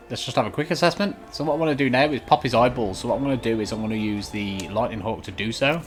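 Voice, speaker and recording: A man's voice: mid-range voice, fast talker. A man giving casual video game walkthrough commentary as he plays. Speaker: gamer, walkthrough host. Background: game music and sound.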